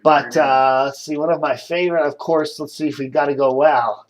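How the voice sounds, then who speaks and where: A man's voice: on the high side, steady tone, moderate pace. An American man chatting casually to camera as a video blogger, meandering and thinking aloud. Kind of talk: casual rambling chat to camera. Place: indoors at home.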